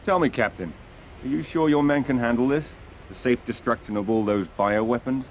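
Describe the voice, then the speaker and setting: A man's voice: mid-range voice, moderate pace, slight background noise, lightly acted, rough recording. A British man playing a cool, suave secret agent in a video game, speaking in a dry, understated way. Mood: probing, dry. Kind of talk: video game cinematic acting.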